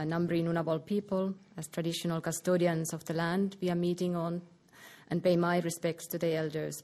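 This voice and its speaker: A middle-aged woman from Lapland with a Finnish accent, giving a formal lecture in a measured way, pausing with "uh" and restarting words. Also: low voice, darkish tone. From Finland, Lapland. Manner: measured, with frequent "uh" pauses and restarts. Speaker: guest lecturer.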